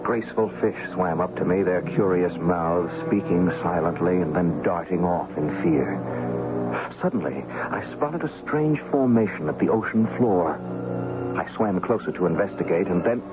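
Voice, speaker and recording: An actor playing an earnest, adventurous young oil heir with intense, expressive 1970s radio drama delivery. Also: male voice, deep voice, moderate pace. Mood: tense, dramatic. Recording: dull 1970s radio recording with music.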